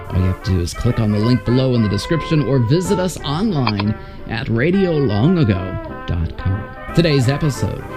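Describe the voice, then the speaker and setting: A man hosting with a scripted, announcer-like narration. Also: medium pitch. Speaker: host. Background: music.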